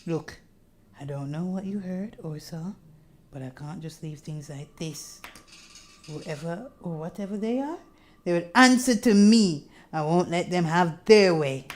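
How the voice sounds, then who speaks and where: A woman's voice: on the low side, measured pace, darkish tone, natural voice. A woman voicing a proud, stubborn, frustrated woman in a horror visual novel, speaking bluntly and emphatically. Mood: blunt defiance.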